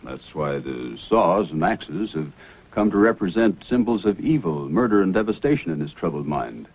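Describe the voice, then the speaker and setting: Heroic police sergeant, deep voice, firm and commanding, old radio drama, narrow vintage sound with music. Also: male voice, big pitch swings, normal speed, husky, full character voice. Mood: explaining, stern. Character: calm, authoritative Mountie sergeant, heroic and commanding. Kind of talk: old-time radio adventure acting. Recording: old band-limited radio recording, music.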